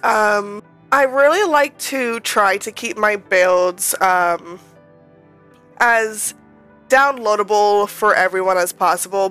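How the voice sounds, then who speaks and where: Woman, rambling vlog voice-over, a bit nasal from a cold, smooth clear tone, faint music behind. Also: mid-range voice, a bit bright. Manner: chatty and rambling, many ums and restarts. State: slightly nasal, recovering from a cold. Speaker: Sims speed-build creator. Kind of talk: casual voice-over commentary.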